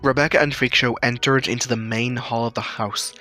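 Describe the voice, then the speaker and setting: Guy narrating an audio story, bright crisp-edged tone, light music in the background. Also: mid-range voice, fairly even intonation, brisk.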